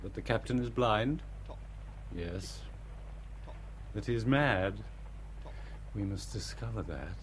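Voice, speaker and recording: A man acting in a 1960s radio drama as a serene, wise alien crewmate, calm and solemn in few words. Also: lowish voice, slow, pauses often. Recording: hissy vintage radio recording.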